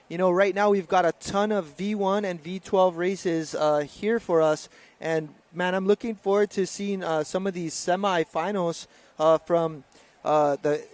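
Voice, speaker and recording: A man doing live race commentary at a paddling championship, talking loosely with lots of fillers and self-corrections. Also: high voice. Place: outdoor race venue broadcast.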